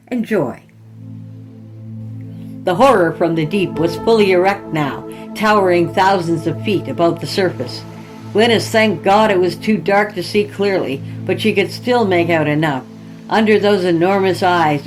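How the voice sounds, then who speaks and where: A woman hosting a horror fiction podcast, reading scripted introductions and story narration. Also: on the low side.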